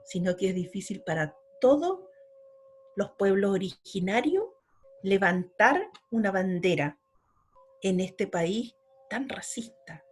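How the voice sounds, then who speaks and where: Middle-aged chilean woman, slow earnest talk, kinda husky, poet guest in an interview. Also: medium pitch, animated. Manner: slow and earnest, with repeated words.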